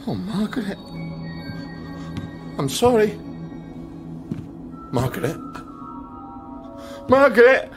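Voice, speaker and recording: An actor playing a blunt, guilt-ridden Scottish ex-sergeant in a dramatic video game. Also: male voice, high voice, big pitch swings, takes it slowly, pauses often, music in the background, exaggerated voice. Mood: confused, grieving.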